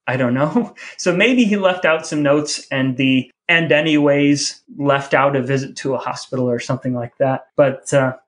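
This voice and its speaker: A man co-hosting a podcast in a casual, conversational style. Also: medium pitch. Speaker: podcast co-host.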